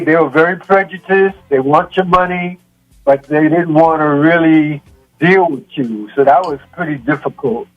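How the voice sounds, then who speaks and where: A muffled recording with music behind it carries an older man of seventy-six, his voice a little high. He speaks slowly and reflectively, leaving long gaps between short phrases. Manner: halting, deliberate phrasing with long pauses. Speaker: guest, yoga practitioner. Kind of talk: reflective conversational talk.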